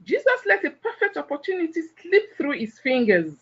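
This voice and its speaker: A woman's voice: high-pitched, huge pitch swings, normal speed, highs cut off. A woman playing a haughty, judgmental gossip in a biblical audio play, condescending and full of disapproval. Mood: scornful.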